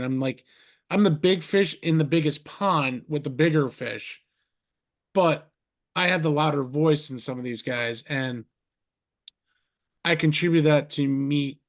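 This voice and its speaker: Rambling, slightly high-voiced podcast host man. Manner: rambling, restarts sentences, heaps on compliments. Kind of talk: casual interview chat.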